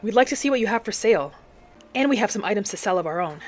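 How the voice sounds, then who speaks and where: A woman hosting a gaming channel, narrating her roleplay in character in a measured, earnest storytelling way. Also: mid-range voice, lively intonation. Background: background music.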